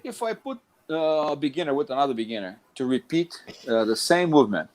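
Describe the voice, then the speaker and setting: A man's voice: on the high side, animated, fairly quick, a little mellow. A middle-aged man from Brazil with a Brazilian Portuguese accent, a jiu-jitsu instructor talking casually about teaching, rambling with many restarts. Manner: rambling, restarts often, says "man" a lot.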